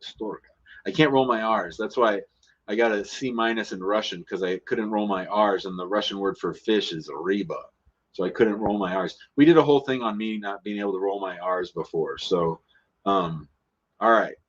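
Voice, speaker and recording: A man's voice: fairly low pitch, normal speed. Blunt man hosting a call-in show. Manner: blunt, casual, thinks aloud. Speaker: immigration lawyer hosting a live call-in show. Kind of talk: live call-in advice. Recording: dull lo-fi stream audio.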